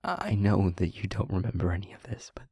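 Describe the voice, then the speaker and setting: A man playing a weary demon in an intimate audio roleplay, speaking earnestly and haltingly in a breathy half-whisper. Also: sits low, mellow, no room sound, clean studio sound. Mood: hesitant, pleading. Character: weary male demon confiding a painful truth. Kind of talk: scripted audio roleplay, intimate monologue to listener. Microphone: close microphone, dry.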